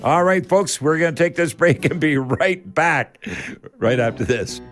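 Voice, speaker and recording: A man's voice: on the high side, animated, a little slow, soft background music. An older man with a Canadian accent hosts a home improvement radio show in a folksy, rambling way, repeating words for emphasis. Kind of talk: folksy live radio talk with product tips.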